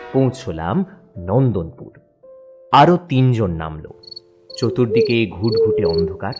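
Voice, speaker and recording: Slow, expressive male horror storyteller. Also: mid-range voice. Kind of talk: dramatic scripted horror narration.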